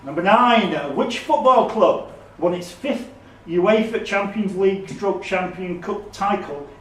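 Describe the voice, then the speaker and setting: A man's voice: high-pitched, slow pace, a bit bright. A man acting as quizmaster, reading out questions and ruling on answers at a live quiz. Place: echoey indoor room at a live quiz event. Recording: distant mic in echoey room, background noise.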